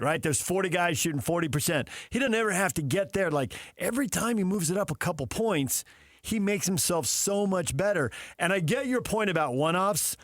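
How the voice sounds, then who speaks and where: An American man talks as a sports radio host in a fairly high voice. He argues off the cuff, stacking repeated phrases to build a point. The sound is dry, with no room on it. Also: no room sound. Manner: builds points through repetition. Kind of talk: unscripted sports talk debate.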